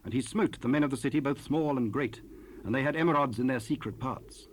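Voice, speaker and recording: A man's voice: medium pitch, a little fast. A man narrating scripture in archaic English for a dramatized Bible recording. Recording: noisy raw cassette rip.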